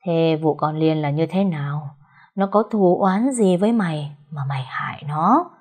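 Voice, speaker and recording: A woman voicing a vengeful ghost that speaks in a slow, hushed, menacing way. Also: deep voice. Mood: interrogating.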